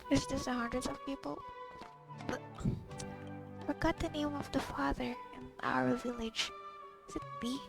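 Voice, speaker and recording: A woman's voice: high voice, steady tone, a little slow, vocal fry, pauses often. A woman giving casual, off-the-cuff commentary on a video game, murmuring half to herself. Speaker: gamer recording a playthrough. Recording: game music behind the voice. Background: video game music.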